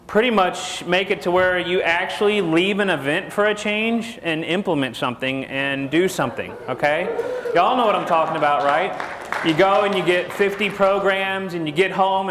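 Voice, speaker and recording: A middle-aged Texan man speaks to a conference audience in a folksy, joking way and keeps throwing questions at them. His voice sits a bit high for a man. A lapel mic picks him up in a large hall with a long, echoing reverb. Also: fairly bright tone, a little hiss or hum. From Texas. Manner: folksy, jokey, asks the crowd questions. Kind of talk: joking seminar talk to an audience.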